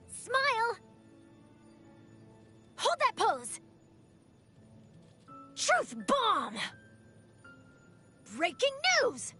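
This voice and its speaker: An actress voicing a plucky, excitable young newspaper reporter in a video game, with perky, theatrical, emphatic delivery. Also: female voice, extremely high pitch, heavily acted. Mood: excited. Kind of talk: animated video game character voice lines.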